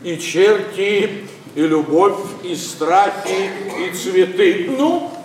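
A man's voice: slow, darkish tone, far from the mic. An actor playing a pompous, blustering older nobleman scolding his household in classic stage verse. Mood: mocking. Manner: booming, scolding, theatrical. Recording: echoey stage from afar, faint noise.

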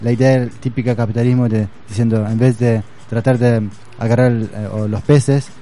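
A man's voice: medium pitch, fairly even intonation, moderate pace, warm tone. A man speaking in a casual conversation, hesitant and searching for words, with many fillers. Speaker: guest.

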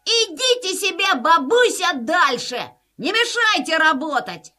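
A woman's voice: very high voice, big pitch swings, a little slow, sharp tone, heavily acted. An actress playing a cheeky, boastful young boy with brash, slangy speech in a children's audio play. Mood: insolent. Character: cheeky, insolent young street boy, boastful mischief-maker.

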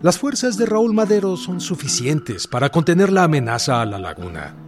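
A man's voice: on the high side, big pitch swings, normal speed, over music. A man acting a respectful but insistent general in a radio drama, speaking formally.

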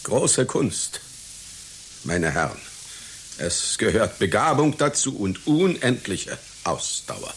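An actor playing a pompous, proud clown with a doctorate, speaking in deliberate, formal diction in a satirical radio play. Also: male voice, lowish voice, sing-song. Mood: pompous, measured. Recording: hissy radio play recording.

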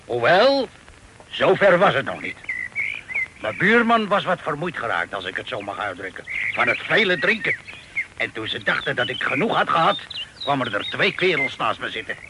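An actor playing a bluff, hearty Dutch sea captain in a radio play, with a jovial, salty, colloquial way of talking. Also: male voice, mid-range voice, very animated, moderate pace, lightly acted. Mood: jovial storytelling. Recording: noisy old radio play recording.

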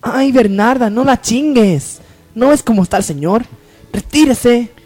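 Mujer interpretando a una novia joven, mimosa y mandona, voz suave. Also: female voice, on the high side, animated, unhurried, dark tone, faint music behind. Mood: bossy, irritated. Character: doting, bossy young girlfriend and sister. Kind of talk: amateur radio soap opera acting.

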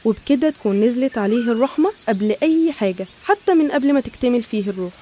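A woman's voice: on the high side, animated, fast, mellow, slight background noise. A woman with an Egyptian Arabic accent narrating religious stories in a conversational, explanatory way. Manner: explanatory, storytelling.

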